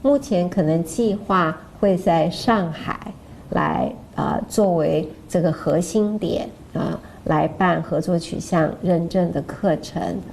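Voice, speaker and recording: Woman, mellow and slightly gravelly, informal course presenter with hesitant delivery, some background noise. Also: lowish voice, slow, poor audio. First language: Mandarin Chinese. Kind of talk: informal explanation to camera.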